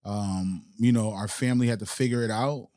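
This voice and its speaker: A man's voice: fairly low pitch, fairly even intonation, normal speed, mellow, no echo, clean studio sound. A man born in Haiti and raised in the United States, a podcast guest and entrepreneur, talking reflectively in street slang with many restarts. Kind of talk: casual interview chat.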